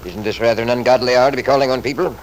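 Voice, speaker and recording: A man's voice: medium pitch, fairly even intonation, very fast, lightly acted, poor audio. An actor playing a selfish, scornful wealthy father in an old-time radio drama, blustering and sarcastic. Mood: curt.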